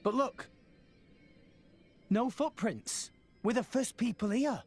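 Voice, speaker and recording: A man's voice: extremely high pitch, lively intonation, takes it slowly, faint music behind, heavily acted. A man voicing an earnest, upbeat teenage boy salvager in a video game, eager and plain-spoken.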